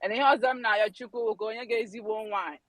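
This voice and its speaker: Cheery nigerian lady in an online room, sharp bright voice, dry, laughing a lot. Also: on the high side, steady tone, racing, dry recording, rough recording. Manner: playful, laughing, blessing-giving. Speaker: participant in an audio space. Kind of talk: casual chat, switching into Igbo.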